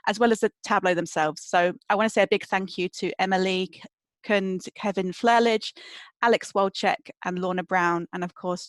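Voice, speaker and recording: A woman hosting and introducing an online community event over a video call, brisk and organized, with frequent fillers. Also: lowish voice, no echo. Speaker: event host.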